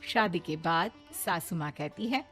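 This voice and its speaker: A woman's voice: fairly low pitch, expressive, a little slow, a bit bright. Give me a woman narrating stories over music. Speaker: story narrator. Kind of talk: scripted story narration.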